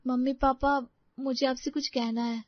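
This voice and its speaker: A woman's voice: high voice, level tone, normal speed, no echo, lo-fi sound, pitched above the performer's own voice, natural voice. A woman voicing an earnest, emotional young woman in love in a story. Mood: earnest, pleading.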